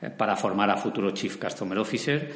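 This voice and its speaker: Male, middle-aged, Spain accent, lowish voice; enthusiastic interview guest talking conversationally in a reverberant hall. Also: fast talker. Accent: Spanish, from Spain. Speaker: interview guest, customer experience executive and teacher. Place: large event room, indoors.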